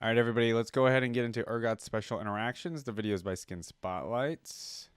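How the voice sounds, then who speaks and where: A man's voice: medium pitch, fast talker, a little hiss or hum. A man hosting a reaction video in a casual, curious way, thinking aloud as he reads and comments.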